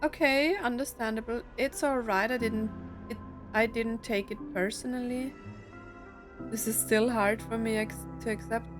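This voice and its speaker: A woman's voice: fairly high pitch, steady tone, moderate pace, over music. A woman giving casual let's play commentary, rambling as she thinks aloud about a story game. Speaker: streamer playing a story game.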